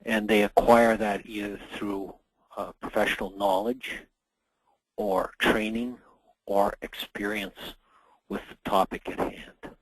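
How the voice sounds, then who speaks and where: A middle-aged man, hoarse from a cold, presenting a workplace safety webinar in a measured way with frequent pauses. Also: lowish voice, dry recording, rough recording. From Canada. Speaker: association general manager presenting a webinar.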